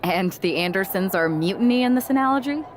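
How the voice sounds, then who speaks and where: A woman's voice: mid-range voice, lively intonation, fairly quick, lightly acted. A woman playing a spirited, supportive wrestler in a video game cutscene. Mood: upbeat, questioning. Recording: faint background noise and ambience.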